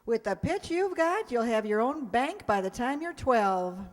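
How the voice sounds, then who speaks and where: An actress playing a wry, wisecracking single schoolteacher in an old-time radio comedy, with a dry, sardonic delivery. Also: female voice, fairly high pitch, lively intonation, measured pace. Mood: wry, amused. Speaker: lead actor and narrator. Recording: echoey hall, long reverb.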